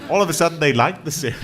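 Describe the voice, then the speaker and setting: A Danish television writer on a panel, telling a story with amusement and frequent laughter in English. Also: male voice, a little high, a bit bright. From Denmark. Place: on stage before an audience.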